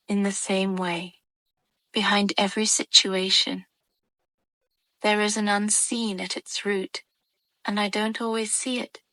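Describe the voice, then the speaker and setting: A woman leading a guided meditation with soothing, calm narration in short, measured phrases and gentle pauses. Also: medium pitch, no room sound.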